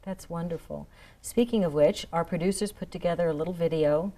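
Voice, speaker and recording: A woman's voice: fairly low pitch, a little fast, warm tone. A woman hosting a local TV talk show, introducing guests and asking questions in a polite, upbeat way. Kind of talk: studio interview hosting.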